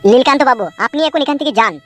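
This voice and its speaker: A woman's voice: high-pitched, very fast, faint music behind, natural voice. A woman playing a self-important male village politician in a comic cartoon, lecturing and brushing complaints aside. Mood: dismissive. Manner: pompous, lecturing, dismissive.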